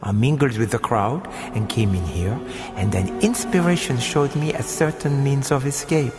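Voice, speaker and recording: An actor playing a sinister, leisurely murderer in a radio horror drama, speaking formally and precisely with mocking courtesy, with music under the voice. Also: male voice, mid-range voice, moderate pace, big-room sound, natural voice. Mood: icily polite. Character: suave, sinister male murderer, icily polite and leisurely.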